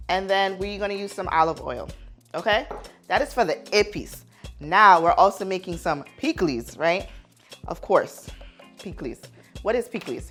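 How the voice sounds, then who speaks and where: A woman's voice: medium pitch, fairly bright tone. A playful, jokey woman from Haiti, raised in New York, casually hosting a cooking tutorial and often checking in with the viewer. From born in Haiti, raised in New York, United States. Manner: repeats words for emphasis and checks in with "right?" and "okay?". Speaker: chef and cooking show host.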